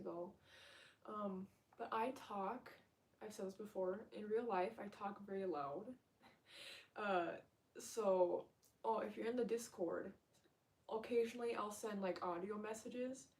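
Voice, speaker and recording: Chatty Minnesotan woman, mellow voice. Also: medium pitch, far from the mic. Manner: rambling, chatty, fast talker. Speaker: ASMR livestreamer. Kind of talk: casual livestream chat.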